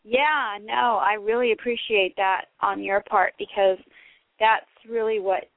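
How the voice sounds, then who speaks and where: A woman's voice: medium pitch, steady tone, unhurried, dry recording. A woman calling in to a radio show who explains her situation hesitantly, with many fillers. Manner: hesitant, many "um"s and "you know"s. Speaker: caller. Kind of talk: phone call to a radio show. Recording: tinny phone line, dry.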